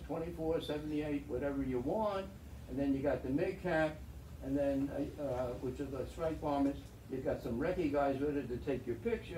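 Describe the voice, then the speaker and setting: A man's voice: fairly high pitch, dark tone. An older man giving a slide talk as a veteran pilot, wry and chatty, heard from a far camera in a meeting room. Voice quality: chatty, wry storyteller with restarts. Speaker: guest speaker, veteran pilot. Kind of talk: slide lecture to an audience. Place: meeting room with projector screen. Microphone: far camera in the audience. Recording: roomy, distant and faintly noisy.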